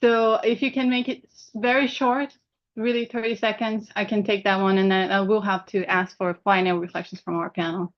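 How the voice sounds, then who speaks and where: Brisk woman moderating a panel. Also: medium pitch, flat delivery, highs cut off. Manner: brisk, polite, keeping time.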